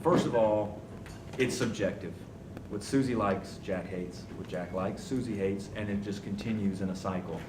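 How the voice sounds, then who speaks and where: A man's voice: lowish voice, moderate pace. A young man speaking on a conference panel in a confident, punchy, joking way. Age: young adult. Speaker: panelist, communications officer. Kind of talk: panel discussion talk. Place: conference room before a live audience. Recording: echoey room, distant mic, noisy.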